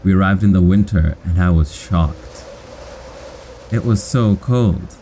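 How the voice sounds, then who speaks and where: A man from Kenya with an American accent, narrating his own life in measured, scripted, plainly worded short sentences. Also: low-pitched, mellow, a little hiss or hum. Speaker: storyteller.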